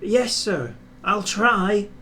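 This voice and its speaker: A man as an eager, high-pitched little engine. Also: very slow, a little hiss or hum, exaggerated voice. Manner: bright, keen, boyish. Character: eager, cheeky little young male steam engine.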